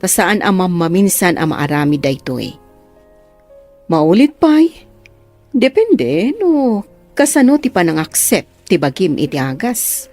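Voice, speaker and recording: A woman playing a teasing, reassuring doctor in an Ilocano radio drama, with a soft husky voice. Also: lowish voice, very animated, slow, long pauses, faint music behind, heavily acted.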